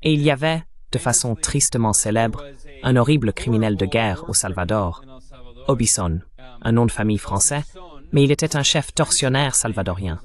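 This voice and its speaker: A man giving measured, didactic political commentary as a dubbed interview guest, stressing his key points. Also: mid-range voice, expressive.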